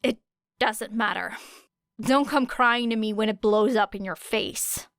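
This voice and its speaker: Woman acting prickly young woman, audiobook, scoffing, sarcastic, curt, slightly high, unhurried. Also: a bit bright, no room sound, studio quality. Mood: bitter. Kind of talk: audiobook character voice.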